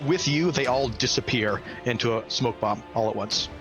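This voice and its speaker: A man runs a tabletop role-playing game with theatrical, earnest narration. His voice sits at a medium pitch and he describes scenes in vivid detail. Music plays clearly behind him. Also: moderate pace, muffled recording. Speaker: game master. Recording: prominent music under the voice.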